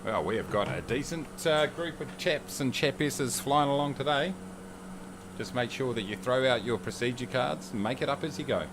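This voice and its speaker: A man's voice: medium pitch, animated, normal speed, background noise. A man chatting casually on a livestream, joking and reading viewer comments aloud as he flies. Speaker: streamer. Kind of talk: casual livestream chat while flying a sim.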